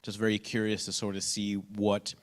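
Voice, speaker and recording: A man's voice: on the low side, monotone, normal speed. A man moderating a panel discussion, asking curious, searching questions.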